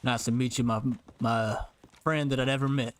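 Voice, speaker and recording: A man's voice: medium pitch, fairly even intonation, moderate pace, a little hiss or hum, natural voice. A man playing a shifty, folksy frontier henchman in an improvised western roleplay, repeating his phrases. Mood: casual. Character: laconic, shifty frontier henchman who keeps secrets.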